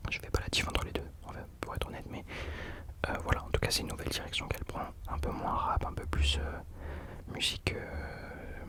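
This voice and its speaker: A man whispering in a breathy, unvoiced voice as an ASMR host, chatting casually about music in a hesitant, self-correcting way. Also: moderate pace.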